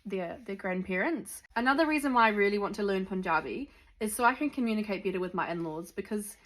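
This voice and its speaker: A woman's voice: mid-range voice, expressive, fast talker, a bit bright. A young woman from New Zealand with a Kiwi accent, chatting casually to camera with lots of ums and likes. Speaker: vlogger. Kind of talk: sit-down chat to camera.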